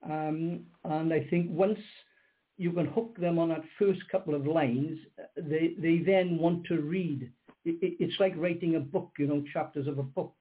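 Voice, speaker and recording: Older male guest, husky, hesitant and repetitive, heard over a tinny phone line. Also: on the high side, fairly even intonation, a little slow. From Wales. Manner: hesitant, repeats words, many ums. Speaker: guest poet. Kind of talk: radio interview. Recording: narrow phone-line sound.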